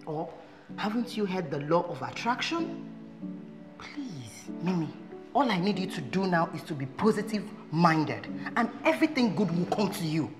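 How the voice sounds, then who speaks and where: A young woman with a Nigerian accent acting a loyal friend who scolds and switches to loud Pidgin. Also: lowish voice. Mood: comforting. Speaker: protective friend. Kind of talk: skit drama acting.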